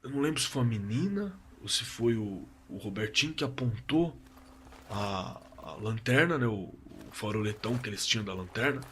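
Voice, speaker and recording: Male actor as shaken Brazilian working man, bright forceful voice, halting colloquial horror testimony for audio drama, some background noise. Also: lowish voice, expressive. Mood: uneasy, remembering. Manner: halting, repetitive, colloquial, trailing off. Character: shaken working-class man recalling a frightening camping night. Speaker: eyewitness telling his own horror story.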